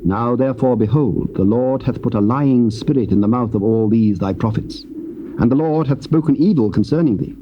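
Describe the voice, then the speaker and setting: A man's voice: mid-range voice, moderate pace. A man narrating scripture as formal, dramatic storytelling. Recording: muffled, noisy old cassette.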